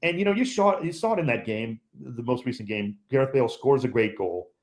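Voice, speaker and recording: A man's voice: medium pitch, lively intonation, fairly quick. A man who calls games on the radio, a guest on a podcast chat, talking in an emphatic, opinionated way and repeating points for stress.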